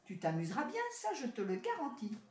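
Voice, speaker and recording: A woman's voice: on the high side, wildly swooping pitch, fairly quick, pitched above the performer's own voice. A woman voicing a haughty, boastful male city rat in a storybook tale, talking down to his cousin. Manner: proud, boastful, condescending. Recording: roomy echo.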